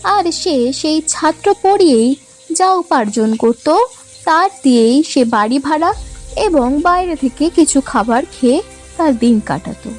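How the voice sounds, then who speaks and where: A woman's voice: high-pitched, expressive, unhurried, a little mellow. A woman narrating a scripted children's story as a storyteller, with music behind her. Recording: music bed under the voice. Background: background music.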